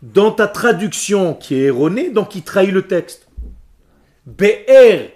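A man from Israel, a Hebrew speaker, giving an emphatic, animated lecture to a group. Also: high voice, takes it slowly. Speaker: religious teacher.

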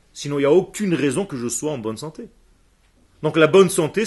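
A man's voice: high voice, husky. A man who grew up speaking Hebrew, teaching a class emphatically and firing questions at his students. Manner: emphatic, asks the room questions, answers students quickly. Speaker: religious teacher.

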